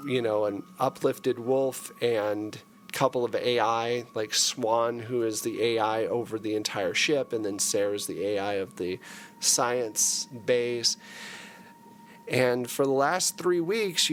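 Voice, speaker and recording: A man runs a tabletop sci-fi game as host and game master. He explains the scene conversationally at a slow pace, in a medium-pitched voice. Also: slight background noise.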